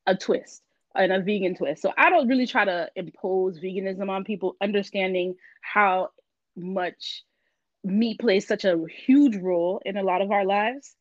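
A woman's voice: mid-range voice, dry recording. Woman from Miami on dull lo-fi audio. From Miami, Florida. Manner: relaxed, frequent ums and laughs. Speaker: guest, vegan business owner. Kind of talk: casual interview chat.

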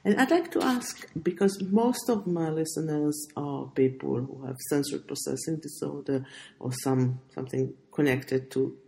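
A woman hosting a podcast, asking measured questions and sharing short responses. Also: sits low, vocal fry, mellow, poor audio. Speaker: podcast host. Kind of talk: interviewing.

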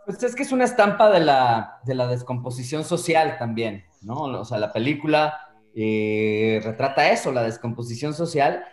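Male film panelist, mid-pitched, analytical and deliberate, roomy echoing sound. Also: lively intonation. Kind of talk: casual analytical discussion.

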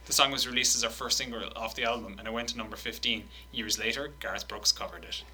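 Man, bright forceful voice, casual podcast banter, animated quote reading. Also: lowish voice, fast talker, slight background noise. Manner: animated, swearing, laughing while reading quotes.

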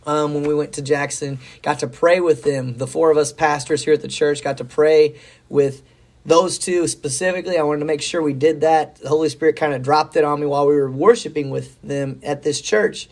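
A hoarse middle-aged man with a slightly high-set voice, chatting as a podcast pastor. He rambles in a folksy, upbeat way, with a worn, scratchy throat. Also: steady tone, a little fast. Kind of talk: casual faith talk.

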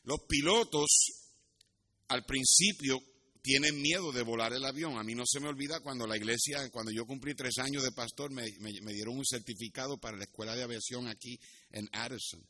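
A man's voice: mid-range voice, fairly quick, bright, forceful tone. A man with a Puerto Rican accent preaching as a pastor, teaching scripture and telling personal stories in a didactic way, with occasional asides in English. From Puerto Rico. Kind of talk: sermon with Bible teaching and personal anecdotes.

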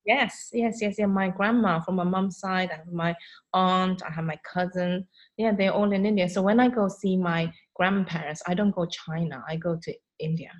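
A woman's voice: on the low side, steady tone, normal speed. A woman from Sweden whose first language is Hakka, a lash artist speaking as a podcast guest, talking freely with many fillers and non-native grammar. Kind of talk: podcast interview.

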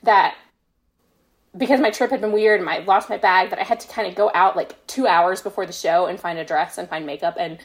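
A woman's voice: lowish voice, a little fast. A woman talks as a guest on a podcast. She tells stories in a casual, conversational way, chatty and self-deprecating, often slipping into quoted exchanges. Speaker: podcast guest, fan fiction author.

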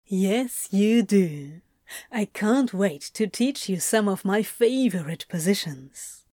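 Woman playing mischievous male yoga instructor, unhurried drawl, flirty, teasing, dry close sound, audio drama. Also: medium pitch, expressive, dark tone, no echo. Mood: seductive. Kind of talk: fan fiction audio drama acting.

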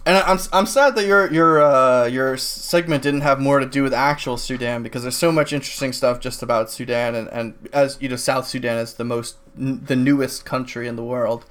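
A man's voice: medium pitch, fairly even intonation, brisk, hardly any pauses, a bit bright. A man co-hosting a casual political discussion podcast, rambling with many fillers and restarts. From New England, United States. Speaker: podcast co-host.

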